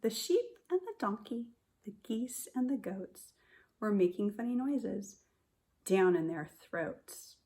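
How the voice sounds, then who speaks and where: A woman kindergarten teacher reading a picture book aloud to young children in a simple, expressive way, speaking to them directly. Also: medium pitch, slow pace. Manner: addresses children directly with questions and prompts.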